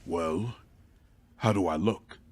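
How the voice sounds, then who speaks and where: A man voicing a composed, calculating otherworldly male mentor in a fantasy game, speaking formally and deliberately. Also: sits low, a little mellow, slight background noise. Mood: calm, calculating.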